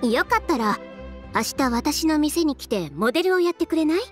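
Game casting: confident young woman designer, high and expressive, brisk, dryly frank, no-nonsense. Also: soft background music. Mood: brisk, assured. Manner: matter-of-fact, decisive, frank. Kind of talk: Japanese anime game voice acting.

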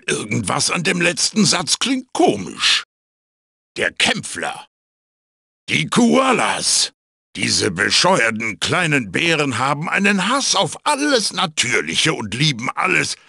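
A male actor voicing a wise, quirky wooden mask spirit in a video game, raspy, theatrical and wry as he guides the listener. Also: a little high, sharp tone, no room sound, clean studio sound, heavily acted. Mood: wry, joking.

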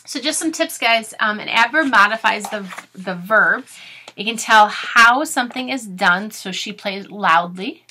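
Bright-voiced woman teaching children. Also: medium pitch, a little slow, a little hiss or hum. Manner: expressive, instructive, addressing children directly. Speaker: teacher reading aloud to children. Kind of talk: storybook read-aloud with grammar explanations. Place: small furnished room at home.

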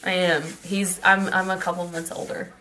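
A woman's voice: lowish voice, flat delivery, normal speed, sharp tone. A woman, chatting earnestly with a friend on a webcam in a small room, rambling and restarting her sentences. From Texas. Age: mid 20s. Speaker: vlogger. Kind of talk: casual chat with a friend. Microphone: webcam in front.

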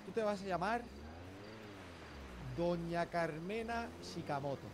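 A man's voice: high-pitched, takes it slowly, background noise. Bossy man directing a scene. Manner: bossy, excitable, shouts orders. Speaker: roleplayer acting as film director. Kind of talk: casual game roleplay chat.

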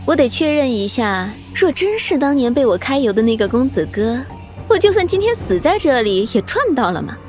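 A woman's voice: high voice, big pitch swings, normal speed, faint music behind. A woman playing a bold, playful young princess in an audio drama, teasing and breezy. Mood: playful, defiant.

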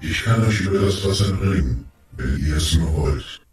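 A calm, loyal male tiger from an audio drama, played by a man speaks in a booming, very deep voice. The voice sits far from the mic and rings with heavy echo. Soft music plays underneath. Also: normal speed. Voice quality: very deep, distant.

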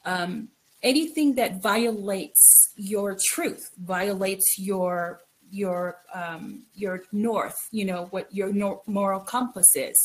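A middle-aged woman from the Philippines giving a candid, reflective personal account as an interview guest, halting and repeating phrases for emphasis. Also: mid-range voice. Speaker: guest, author. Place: indoor room, video call. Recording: noisy video call.

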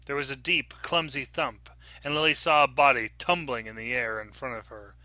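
A man's voice: medium pitch, steady tone, moderate pace, pushed and bright, dry recording. A man reading scripted fiction aloud as a dramatic narrator. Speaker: story reader and narrator.

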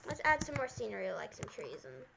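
A woman's voice: mid-range voice, big pitch swings, a little fast, gravelly. A young girl chatting in roleplay while hosting a gaming video. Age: child. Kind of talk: roleplay chat while playing a game. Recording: loud noise and clicks behind voice.

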